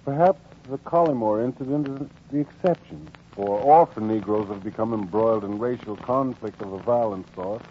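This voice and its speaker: A man giving formal, dramatic scripted narration in 1940s radio style. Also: mid-range voice. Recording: noisy, dull 1944 radio recording.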